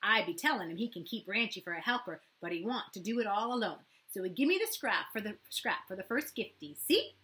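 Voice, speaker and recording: A woman reading an audiobook, voicing an excitable small boy who talks in broken, gleeful grammar. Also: mid-range voice, fairly quick, few pauses.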